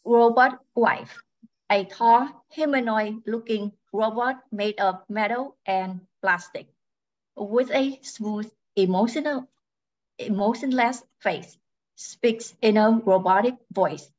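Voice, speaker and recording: A woman reading a character introduction for a radio play in a measured, scripted style. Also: on the high side. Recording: slightly dull, muffled sound.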